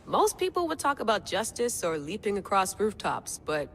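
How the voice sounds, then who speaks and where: An actress playing a young modern agent in a video game, casual and teasing in manner. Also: female voice, medium pitch, big pitch swings, normal speed, a little hiss or hum. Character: young female modern agent, curious and restless. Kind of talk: video game cutscene acting.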